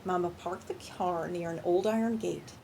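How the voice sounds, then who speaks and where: A woman reading a picture book aloud to young children in an animated storytime style, pausing to ask questions and explain things simply. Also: fairly low pitch, gravelly, background noise. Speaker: children's librarian and storytime reader.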